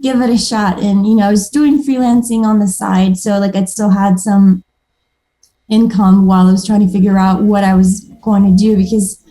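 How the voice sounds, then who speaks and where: A woman's voice: medium pitch, level tone, normal speed, warm tone, poor audio. A woman from Moldova whose first languages are Moldovan and Russian, chatting as an interview guest in an upbeat, restarting way. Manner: chatty and upbeat, with frequent restarts and little laughs. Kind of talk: casual interview.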